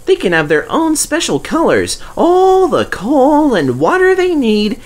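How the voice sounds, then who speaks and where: Squeaky eager young engine. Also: male voice, huge pitch swings, unhurried, exaggerated voice. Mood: eager, earnest. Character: young male tank engine Percy, eager, squeaky, excitable.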